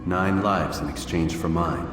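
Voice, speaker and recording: With music playing behind, a proud assassin in a video game. His voice is low, and he speaks in terse, deadpan phrases, sure of himself and short on patience. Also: a little slow. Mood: guarded. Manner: terse, deadpan, self-assured. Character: proud, curt, impatient male assassin. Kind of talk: dramatic video game cutscene acting.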